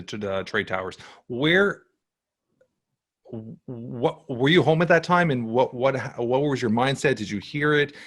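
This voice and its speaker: A man's voice: medium pitch, a bit bright. A man hosting a casual interview, stacking questions one after another and repeating words as he forms them. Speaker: podcast host.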